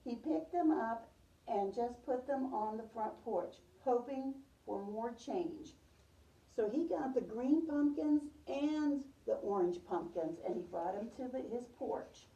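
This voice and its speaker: Very mellow woman reading aloud, roomy. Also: on the high side, expressive, unhurried, dull audio. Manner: repeats and affirms pupils' answers. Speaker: preschool teacher reading a picture book to a class. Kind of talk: storybook read-aloud with questions to children. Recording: roomy, distant microphone.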